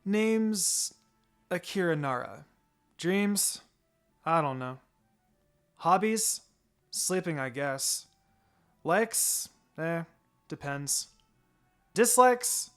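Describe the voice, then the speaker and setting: A man's voice: a little high, animated, very slow, long pauses, a bit bright, clear, resonant tone, heavily acted. A man voicing a lazy, indifferent young ninja boy in a fan fiction reading. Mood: bored. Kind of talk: fan fiction character dialogue. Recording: music behind the voice.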